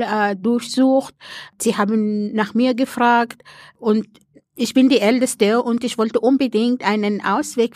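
An older woman from Sudan speaks German as a radio studio guest. She talks earnestly and haltingly, often stopping to search for words. The recording is close and dry. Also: a little high, no room sound. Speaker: interview guest.

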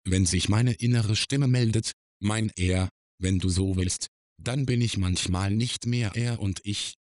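An actor playing a wry, self-reflective man giving a deliberate, formal monologue in an audio drama. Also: on the low side, a little mellow.

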